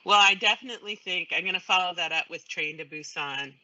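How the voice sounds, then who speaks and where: A woman speaking as a guest in a casual interview, hesitant and pausing mid-sentence. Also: fairly low pitch, sharp tone, highs cut off. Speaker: guest, creative services business owner.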